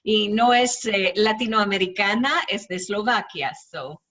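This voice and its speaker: A woman's voice: medium pitch, normal speed, fairly bright tone, far from the mic, dull audio. A woman co-hosting an online talk who relays the other speakers' points. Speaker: co-host and interpreter. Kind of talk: casual online talk, interpreting.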